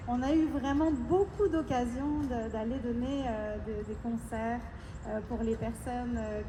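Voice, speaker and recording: French-accented woman musician, high voice, talking to an audience, hesitant, echoey live sound with noise behind. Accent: French (France). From France. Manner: warm, halting, many fillers and restarts. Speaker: musician addressing a concert audience. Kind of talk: spoken address between pieces at a concert. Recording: echoey live concert recording with background noise.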